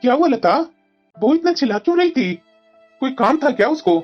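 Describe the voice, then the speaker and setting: A protective old husband voiced by a woman, pitched high for the part. The delivery is earnest and consoling, as in a family drama. The sound is a little dull and lo-fi. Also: big pitch swings, brisk, faint music behind. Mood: concerned. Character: protective, reassuring elderly husband, a pensioner. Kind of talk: Hindi family drama story dialogue.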